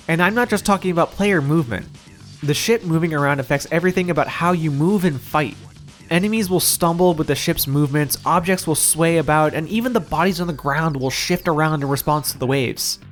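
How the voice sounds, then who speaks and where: A man narrating a scripted video game review in a wry, opinionated, casually profane manner, as the reviewer himself. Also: on the high side. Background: music.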